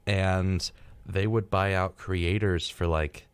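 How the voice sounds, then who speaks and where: Lowish-voiced young guy, casual radio chat. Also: steady tone, slow, clear, resonant tone, dry recording. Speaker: radio show co-host.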